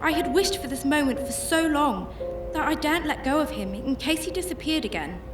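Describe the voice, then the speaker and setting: A woman performs an anxious, tender heroine telling her own story in a radio play. Her voice is a little high and earnest, set back from the mic in an echoey space. Music plays underneath. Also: lively intonation, a little fast. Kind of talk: first-person radio drama narration. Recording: echoey, distant-sounding with music bed.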